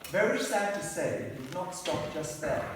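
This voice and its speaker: A man playing a brisk, harried narrator and director trying to keep a shambolic show on track. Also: fairly high pitch, expressive, close to an everyday voice. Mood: storytelling. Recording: echoey hall, distant mic, faint hiss.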